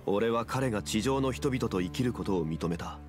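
An actor playing a calm, dignified ancient god in human form in a video game, speaking in a grave, formal, measured manner. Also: male voice, fairly low pitch, music in the background, close to an everyday voice. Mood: solemn, reflective. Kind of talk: video game character dialogue.

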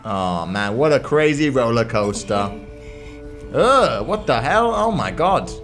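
A man's voice: medium pitch, big pitch swings, takes it slowly, fairly bright tone. A young man giving casual, slangy, teasing reaction commentary on a game. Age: young adult. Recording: film music behind.

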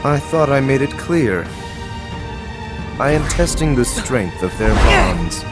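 An actor playing a cold, scheming young male villain in a video game, with a measured, taunting manner. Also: medium pitch, animated. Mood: cold, menacing. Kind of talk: video game cutscene acting. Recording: loud music behind.

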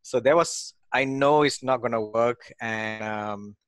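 A man's voice: medium pitch, a little slow, no echo. A man in his forties from Malaysia, talking casually as an interview guest over a video call from a home office, with many fillers and restarts. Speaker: guest, real estate investor. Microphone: headset on video call.

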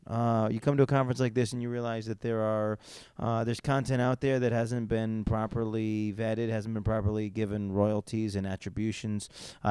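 A man in his thirties hosts a podcast from a hotel room at a convention, talking loosely and cracking jokes between questions. His voice is smooth and clear, mid-pitched, and the handheld mic keeps it dry and close. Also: a little mellow, no room sound. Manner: joking, rambling, quick asides. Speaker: podcast host. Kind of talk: casual interview chat.

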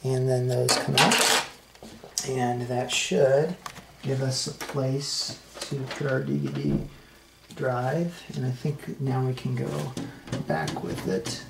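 Slow gravelly-voiced father explaining. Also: mid-range voice, fairly even intonation. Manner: slow, halting explanations with fillers. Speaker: father building a computer. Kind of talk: casual how-to narration. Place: home room with blinds. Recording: noisy home video.

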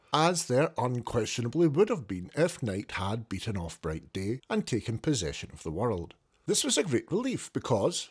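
A man reading a classic novel aloud as an audiobook, in a theatrical, expressive way. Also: medium pitch, slightly rough. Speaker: audiobook reader. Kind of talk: dramatic narration of a classic novel.

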